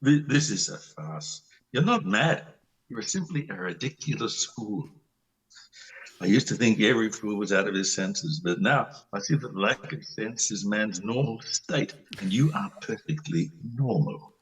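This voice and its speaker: Man playing a sardonic doctor, animated pitch. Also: unhurried, distant mic, low-quality recording. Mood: scornful. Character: exasperated, sardonic country doctor. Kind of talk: amateur play reading.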